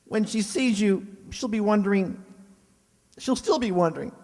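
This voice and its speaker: Very high-pitched, excitable gawky schoolboy. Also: male voice, normal speed, big-room sound. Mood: alarmed, excitable. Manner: blurting, awestruck, earnest. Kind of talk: old-time radio comedy acting.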